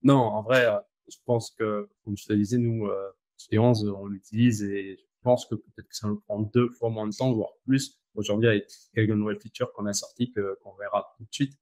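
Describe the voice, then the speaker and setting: A man with an Argentine accent, talking casually as an interview guest, relaxed and slangy, with frequent fillers and restarts. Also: lowish voice, moderate pace, few pauses, no echo. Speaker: guest, company founder.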